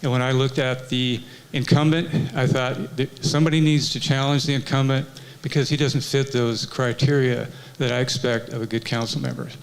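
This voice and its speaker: A man's voice: medium pitch, moderate pace, gravelly, hardly any pauses, rough recording. A man who works as a carpenter, speaking plainly as a city council candidate at a forum. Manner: plainspoken, steady, some fillers. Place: senior community center.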